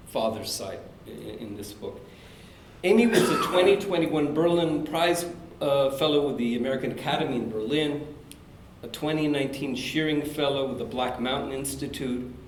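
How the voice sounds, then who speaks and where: A man's voice: mid-range voice, a little hiss or hum. Man introducing a reading in a hall. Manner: measured, reads from prepared notes with occasional fillers. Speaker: event host introducing the speaker. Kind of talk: formal introduction at a literary reading. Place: university event hall.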